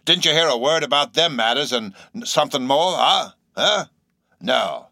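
A man's voice: medium pitch, expressive, moderate pace, bright, forceful tone, dry recording, clean studio sound, pitched above the performer's own voice. A man voicing a shabby, insanely earnest old sailor in an audiobook, speaking abruptly with nervous emphasis and derisive, cryptic questions. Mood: derisive, ominous. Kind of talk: audiobook character acting.

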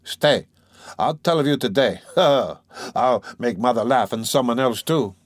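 A man's voice: medium pitch, lively intonation, unhurried, dry recording, clean studio sound. A man playing an irritable, scheming young man, sardonic and brooding, in an audiobook of a classic novel. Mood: sly teasing. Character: irritable, scheming young former law student, mocking and anxious.

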